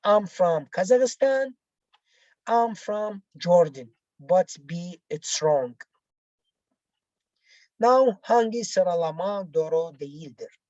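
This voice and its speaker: Casting: female language teacher, non-native English speaker, unhurried and halting, soft-edged mellow tone, walking learners through exercises. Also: fairly low pitch, animated, dry recording, highs cut off. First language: not English. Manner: halting, repetitive, explaining step by step. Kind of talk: bilingual lesson, reading out exercises and explaining answers.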